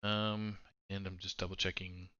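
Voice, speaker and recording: A man with a low voice and a bright, forceful edge hosts a card game stream over voice chat. He rambles through the announcements with relaxed fillers. The sound is dry and a little dull, like a lo-fi call. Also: normal speed, no room sound. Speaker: esports stream host.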